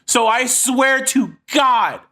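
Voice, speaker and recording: A man's voice: very high-pitched, animated, takes it slowly, pushed and bright. A man of thirty speaking earnestly and with heavy swearing in a personal monologue to his online audience. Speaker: online video creator making comic dubs and skits.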